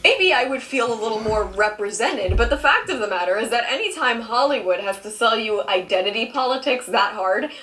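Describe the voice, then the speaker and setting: A woman's voice: a little high, animated, brisk, few pauses, a bit bright, slight background noise. A woman whose first language is Spanish, delivering scripted, sarcastic video commentary. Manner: sarcastic, argumentative. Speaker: video essayist.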